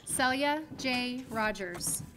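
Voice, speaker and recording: High-voiced woman reading graduate names. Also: animated, noisy background. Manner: slow, measured reading of names. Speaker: commencement name reader. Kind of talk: formal announcing of graduate names. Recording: with music in the background.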